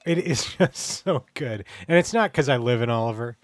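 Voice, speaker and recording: A man's voice: mid-range voice, expressive, normal speed, dry recording. Canadian male podcast host, dry close sound. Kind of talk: casual banter and questioning.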